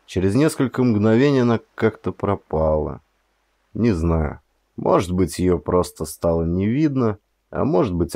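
A man narrating an audiobook in a slow, brooding first-person voice. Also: on the low side, warm tone, no room sound.

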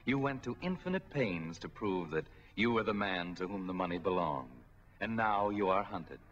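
An actor playing a sinister, gloating narrator of a 1940s film noir, slow, ominous and mocking as he taunts a hunted man. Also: sits low, animated, fairly bright tone. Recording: dull, noisy 1940s film soundtrack.

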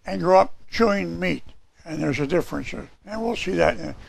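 Hoarse older preacher with a gravelly voice. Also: male voice, sits high, animated, normal speed, no echo. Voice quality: raspy, gravelly. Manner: gesturing, reading scripture aloud from papers. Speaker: pastor. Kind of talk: sermon. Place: small church hall with red curtains.

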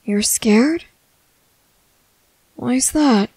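A woman voicing a gentle, earnest young merman in a soft, halting, soothing ASMR roleplay. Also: on the high side, slightly rough, no echo, full character voice. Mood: reassuring.

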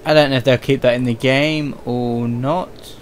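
Male gaming host, mid-pitched casual commentary, faint background noise. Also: steady tone, moderate pace.

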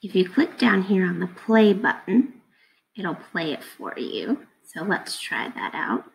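Woman, slow and gravelly-edged. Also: fairly low pitch, big pitch swings, poor audio. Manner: explains simply, asking questions of young listeners. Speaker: teacher reading to children. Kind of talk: casual read-aloud lesson for children. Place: indoors at a computer, webcam screen recording.

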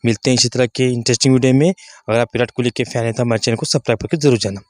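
A man's voice: mid-range voice, fairly even intonation, very fast, no echo, studio quality. A man hosting and narrating sports reaction commentary for his online audience. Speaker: YouTube channel host and narrator. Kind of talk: scripted-sounding reaction commentary to camera audience.

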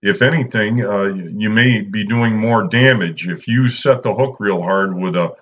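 Laid-back man with a low drawl. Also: unhurried, a bit bright, highs cut off. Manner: laid-back, lots of "uh" and "you know". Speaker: fishing channel host. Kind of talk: casual instructional voice-over.

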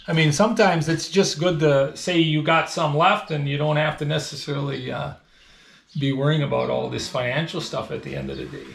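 A man's voice: fairly high pitch, steady tone, a little fast, creaky, a bit bright, slight background noise. A man in his thirties, a dairy farmer, explaining things in a casual, plain-spoken, folksy way in a small indoor work room. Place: small tiled milk room with steel tank, indoors.

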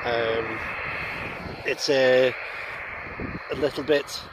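A man in his forties talks in a casual, halting way, as a radio hobbyist would in a vlog. He is outdoors on an open hillside, with a medium pitch and noticeable noise behind the voice. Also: steady tone. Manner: halting, hesitant delivery. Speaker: amateur radio vlogger. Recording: noisy outdoor ambience.

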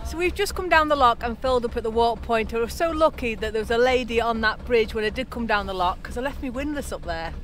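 A woman chatting casually to camera from a canal narrowboat, cheeky and quick to laugh. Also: fairly high pitch, expressive, fairly bright tone, slight background noise. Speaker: narrowboat vlogger. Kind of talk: casual vlog chat. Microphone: action camera at arm's length.

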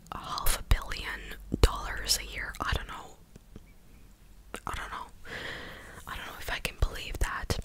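A young woman speaking in a breathy ASMR whisper close to the microphone, chatting casually and hesitantly as she recaps news. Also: poor audio. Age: young adult. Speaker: ASMR content creator. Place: small living room, indoors. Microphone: close desktop condenser microphone.

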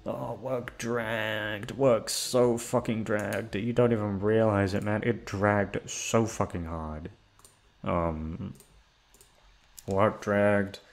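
A young man rambling in a casual chat, swearing often and jumping into high-pitched exclamations. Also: steady tone, takes it slowly, vocal fry. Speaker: audio roleplay creator.

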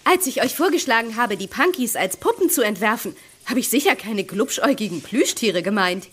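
An actress playing a bossy, critical young woman with a husky voice in an audio drama. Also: fairly high pitch, lively intonation, brisk, a bit bright. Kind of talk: German children's audio drama acting.

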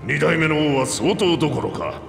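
Gravelly old reptilian king. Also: on the high side, lively intonation, normal speed. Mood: frank, wry. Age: older. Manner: gruff, regal, plain-spoken old-ruler speech. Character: aging two-headed reptilian king, commanding, hearty and frank. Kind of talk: Japanese video game voice acting. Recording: echoey hall reverb, background music.

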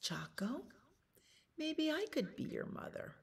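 A woman voicing a kind, gentle mother bear in a children's storybook, tender and soothing. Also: mid-range voice, animated, extremely slow, a little hiss or hum, voice pushed up. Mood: soft, tentative. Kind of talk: children's storybook read-aloud.

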